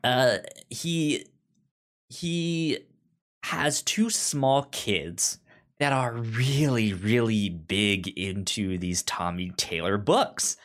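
A man co-hosting a podcast in a casual book discussion, halting and often stammering over his words. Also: mid-range voice, a bit bright. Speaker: podcast co-host.

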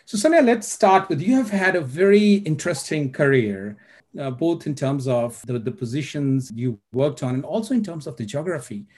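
A man's voice: on the high side, lively intonation, moderate pace, a little mellow. A man hosting an online speaker-series interview, giving formal introductions with many hesitant "uh" fillers.

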